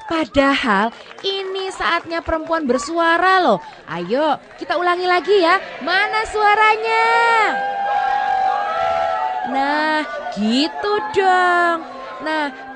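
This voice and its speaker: A lively woman moderating a public forum. Her voice is high and she speaks slowly, teasing and encouraging people to come forward. A crowd murmurs faintly behind her. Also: background noise. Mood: rallying. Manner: coaxing, rallying, playful.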